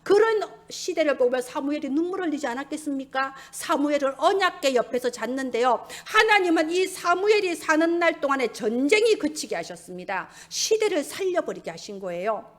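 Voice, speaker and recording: A woman preaches in a high-pitched voice. Her delivery is emphatic and urgent, as she exhorts listeners with Bible stories and presses them with rhetorical questions. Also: sing-song, a little fast.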